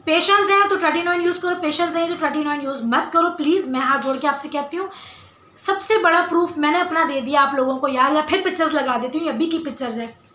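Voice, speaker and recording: A woman with a loud voice talking casually to her viewers as a beauty vlogger, emphatic and insistent, repeating phrases for stress. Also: sits high, fast talker, slight background noise. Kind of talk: casual skincare Q&A talk to viewers. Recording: roomy, echoey indoor sound.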